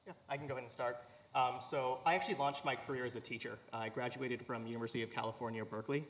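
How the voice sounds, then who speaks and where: A man's voice: mid-range voice, steady tone, rapid-fire, a bit bright, poor audio. A man in his thirties, an investor and former teacher, speaking earnestly on a panel with many fillers. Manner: earnest, storytelling, fillers like "you know", "like". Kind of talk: panel discussion. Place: conference hall stage.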